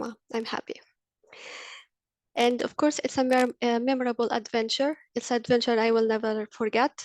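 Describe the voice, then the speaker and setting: A woman's voice: a little high, gravelly, darkish tone, dry recording. A woman in her thirties from Egypt, a university lecturer, giving an earnest webinar presentation with frequent ums and uhs. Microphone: video call.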